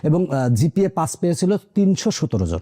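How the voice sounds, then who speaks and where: Very dark-toned Bangladeshi man, slightly high. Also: sing-song, normal speed. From rural Bangladesh, near the Indian border. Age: 30s. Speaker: interview guest. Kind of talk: recounting life story conversationally.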